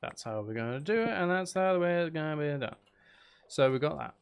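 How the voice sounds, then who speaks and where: A man's voice: on the high side, lively intonation, a little fast, clean tone. A man giving casual gameplay commentary, muttering to himself in a playful, self-deprecating, sweary way. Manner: mutters and counts aloud to himself. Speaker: streamer.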